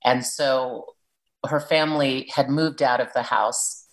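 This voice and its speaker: A woman's voice: extremely low pitch, steady tone, takes it slowly, rough recording. A woman author talking earnestly about her children's book and reading from it aloud. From Peruvian family, living in Arizona.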